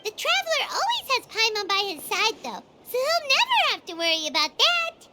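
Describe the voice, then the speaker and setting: A woman's voice: very high-pitched, wildly swooping pitch, normal speed, very noisy, heavily acted. A woman voicing a small, childlike, chirpy fairy companion in a video game, chatting away with music behind. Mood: chirpy, earnest. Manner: speaks of self in third person, childlike. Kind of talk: video game character dialogue.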